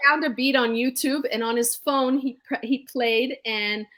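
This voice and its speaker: An upbeat woman singer talks through her story on a video call, now and then breaking into song. Her voice sits a little high. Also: moderate pace, sharp tone. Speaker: guest singer. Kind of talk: casual video-call interview.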